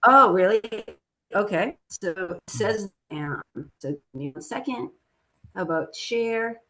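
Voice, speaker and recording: Woman, first language Italian, upbeat, chatty, video call presenter, mid-pitched voice. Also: lively intonation, a little slow, sharp tone. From United States. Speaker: webinar presenter, trade expert. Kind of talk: casual presentation.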